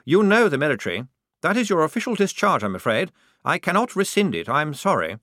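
A man's voice: fairly high pitch, very animated, a little fast, fairly bright tone, no echo, studio quality, voice pushed up. A man voicing a nervous, pompous but well-meaning army lieutenant in an audiobook, speaking in a politely chipper, hesitant way. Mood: polite, firm.

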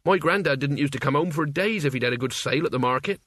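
A man's voice: on the high side, fast talker, a bit bright, dry recording. A man as a naive, earnest teenage boy. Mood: puzzled. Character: naive, well-meaning sixteen-year-old boy, blunt and bewildered.

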